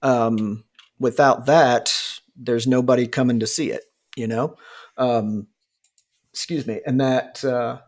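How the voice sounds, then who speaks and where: A man's voice: medium pitch, fairly even intonation, slow pace, darkish tone. A man in his forties talking casually, rambling with lots of fillers and restarts and swearing freely. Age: 40s. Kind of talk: casual conversation.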